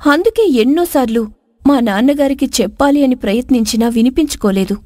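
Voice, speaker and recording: A woman acts out a young princess of a storybook kingdom, scorned yet wise. Her voice is high and earnest, with solemn, formal phrasing. The recording is very dry, with no room sound. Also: a little fast, dark tone, no echo, natural voice. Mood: earnest, sorrowful. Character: spurned dark-skinned young princess, earnest, wise, wronged. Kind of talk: dramatised moral folk tale.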